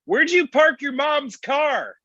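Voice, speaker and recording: A man's voice: very high voice, lively intonation, slow pace, pushed and bright, full character voice. A man playing an earnest, mild-mannered roommate who is easily hurt, in a table read over a slightly muffled call. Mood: needling. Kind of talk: live table read of a screenplay.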